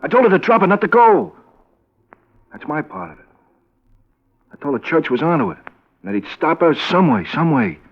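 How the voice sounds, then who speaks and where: Jittery American drug peddler turned informant, highish voice, halting, insistent, guilt-ridden, muffled old radio. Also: male voice, sing-song. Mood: desperate, insistent. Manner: agitated, halting, repeats himself insistently. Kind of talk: 1950s American radio crime drama acting.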